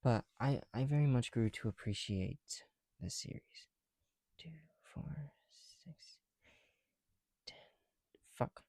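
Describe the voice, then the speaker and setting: A soft-spoken man chatting casually in an ASMR style, often dropping into whispers, with a creaky voice and a rambling, halting delivery, close to the mic. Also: mid-range voice, no room sound. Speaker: ASMR video creator. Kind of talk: casual ASMR chat while building bricks.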